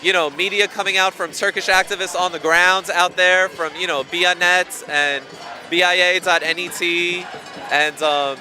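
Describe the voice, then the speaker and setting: A man's voice: high voice, fairly even intonation, moderate pace, sharp tone, soft background music. A hungry man speaking informally and ramblingly, with many fillers, in an outdoor street interview. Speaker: activist.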